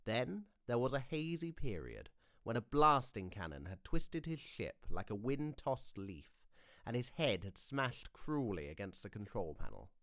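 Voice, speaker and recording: A man reading audiobook narration in a measured, dramatic storytelling manner. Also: medium pitch, sing-song, fairly bright tone, dry recording, studio quality.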